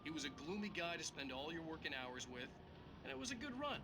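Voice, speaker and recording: Offhand author guest on a game's talk show, highish voice, very noisy audio. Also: male voice, sing-song, quick pace. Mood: wry. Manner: wry, offhand. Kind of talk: talk show interview in a game.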